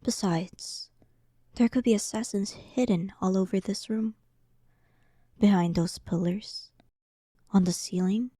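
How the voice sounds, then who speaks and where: Demon queen for roleplay audio , female performer: dominant, sarcastic, unhurried, dark soft tone, very close and dry. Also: medium pitch, no room sound. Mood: taunting, coaxing. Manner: slow, teasing, condescending. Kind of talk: scripted roleplay audio drama.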